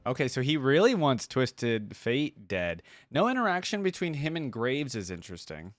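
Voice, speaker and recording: A man's voice: mid-range voice, very animated, moderate pace, no room sound, clean studio sound, faint music behind. A man hosting a reaction video, commenting casually in colloquial, exclaiming bursts.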